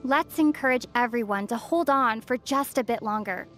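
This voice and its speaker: A gentle young heroine of a game acted by a woman speaks in a high, measured voice. She sounds thoughtful and quietly teasing, with faint music behind her. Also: natural voice. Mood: calm, friendly. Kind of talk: video game dialogue acting. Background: faint background music.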